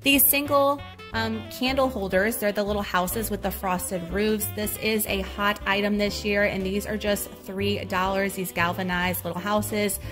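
A woman's voice: medium pitch, steady tone, unhurried, hardly any pauses, music in the background. Chatty Southern woman filming a store walk. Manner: chatty, upbeat, describing items and prices. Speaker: shopping vlogger. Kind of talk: casual shop-with-me narration. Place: large retail store, indoors. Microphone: handheld phone camera.

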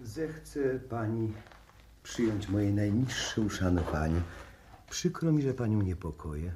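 An actor playing a courteous, guarded Japanese embassy diplomat in a radio play, speaking formally and with measured politeness. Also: male voice, on the low side, lively intonation, mellow, a little hiss or hum. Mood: formally polite. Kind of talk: 1960s Polish radio play acting.

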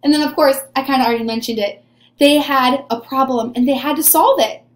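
A woman's voice: sits high, fairly quick, echoey room. A woman in her twenties teaching young children in an encouraging, question-and-answer way, recorded in a small room at desk distance. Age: 20s. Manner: encouraging, asks questions and answers them as if pupils replied. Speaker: early elementary teacher. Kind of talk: animated read-aloud lesson for young children. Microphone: webcam or camera at desk distance.

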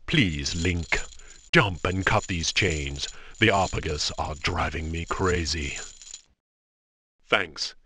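A man playing a burly old blacksmith, weary and plain-spoken, with a husky voice in a cartoon game. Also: low-pitched, takes it slowly, sharp tone, heavily acted. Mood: weary, matter-of-fact.

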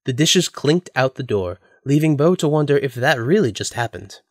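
A man's voice: medium pitch, expressive, moderate pace, no room sound, clean studio sound. A man reading scripted story narration as the narrator of an audio drama.

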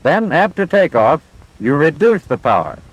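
A man's voice: a little high, big pitch swings, moderate pace, rough recording. A man playing a patient flight instructor, explaining methodically in the style of a 1940s military training film. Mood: patient, instructive. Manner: clear, methodical instructional delivery. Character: instructor pilot, patient, confident, explaining cockpit controls. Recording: vintage 1940s film soundtrack.

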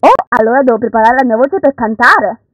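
A woman's voice: on the high side, a little fast, a little hiss or hum, natural voice. A woman voicing a cheerful, bubbly female animatronic chicken who sings in a children's show. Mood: bubbly, eager.

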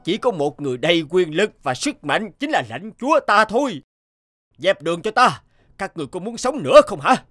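Pompous, high-pitched bullying lord. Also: male voice, big pitch swings, brisk, a bit bright. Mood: domineering. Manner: pompous, blustering, mocking. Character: arrogant, bullying, greedy feudal lord, a fat man. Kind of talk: animated folk tale dub acting. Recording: music under the voice.

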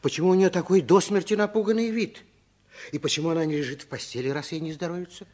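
A man narrating a children's radio drama as a storyteller, in a hushed, suspenseful way. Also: on the high side, fast.

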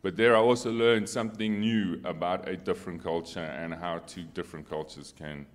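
A man's voice: low voice, steady tone, a little fast. A middle-aged man with a South African accent, a native Afrikaans speaker, telling personal stories casually on a panel into a handheld microphone. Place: on stage in a church hall.

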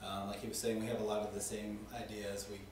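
A middle-aged man, a restaurant co-owner, answering interview questions in a halting way with many fillers. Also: fairly low pitch, completely flat delivery, distant mic, a little hiss or hum. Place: small studio on a couch. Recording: echoey room from off mic.

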